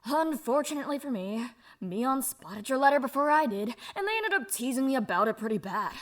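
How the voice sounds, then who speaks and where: A woman plays a brash young woman with a fairly high voice, reading her letter aloud in a loose, foul-mouthed, offhand way. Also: animated, fairly quick. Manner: casual, swearing and offhand. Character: tough, crude young woman.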